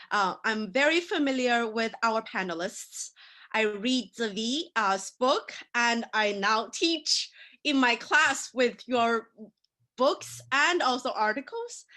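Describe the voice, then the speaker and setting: A woman's voice: on the high side, sharp tone. A woman whose first language is Mandarin, moderating an academic panel with conversational, gracious remarks and frequent hesitations. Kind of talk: unscripted opening remarks.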